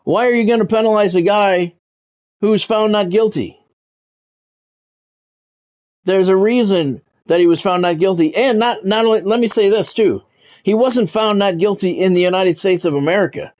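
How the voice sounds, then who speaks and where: A man hosting a game livestream, talking sports to his viewers in measured, announcer-like phrases. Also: high voice, muffled recording. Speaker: game streamer and sports commentator, former radio play-by-play announcer. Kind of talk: livestream monologue, sports talk to chat viewers.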